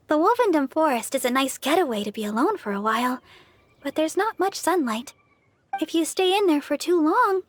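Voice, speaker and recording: A woman playing a gentle, earnest young deaconess in a video game, speaking softly, politely and a little hesitantly. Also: very high-pitched. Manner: soft, sweet, polite, hesitant. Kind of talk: English video game voice acting.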